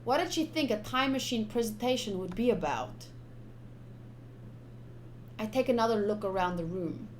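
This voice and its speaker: A woman's voice: medium pitch, animated, normal speed, long pauses, slight background noise. A young woman streaming a game, informal and chatty, switching between casual commentary and reading aloud.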